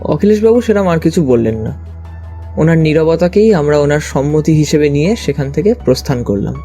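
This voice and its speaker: A man's voice: fairly high pitch, animated, moderate pace, warm tone. A man reading scripted first-person narration for a detective audio story. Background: background music.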